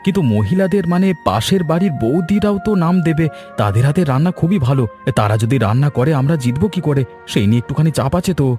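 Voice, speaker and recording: Cartoon villager, male actor: anxious family man, rapid and fairly high, music underneath. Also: animated, mellow, close to an everyday voice. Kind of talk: cartoon voice acting. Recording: music behind the voice.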